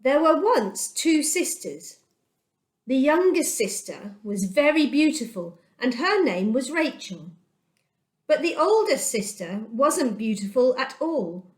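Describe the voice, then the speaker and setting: Slow, lilting woman storyteller in an echoey room. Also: high-pitched, very animated. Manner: dramatic, deliberate storytelling with emphatic pauses. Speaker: children's storyteller. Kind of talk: expressive read-aloud children's Bible story.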